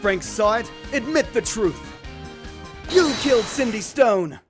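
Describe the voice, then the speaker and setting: A man plays a young rookie lawyer in a parody cartoon, flippant and a bit clueless. His high voice leaps around in pitch, full of bumbling bravado over music. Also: expressive, unhurried. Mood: triumphant. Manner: flippant, sarcastic, stammering bravado. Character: cocky, dim, bumbling young male rookie defense attorney. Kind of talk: comedic parody voice acting.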